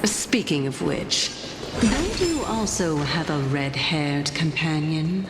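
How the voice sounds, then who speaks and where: A woman's voice: low-pitched, lively intonation, takes it slowly, very noisy. An actress playing a mysterious, teasing, elegant woman in a video game, composed and leisurely, with music behind her. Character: Lady Calypso, mysterious sly teasing woman, elegant and enigmatic. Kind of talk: scripted video game acting.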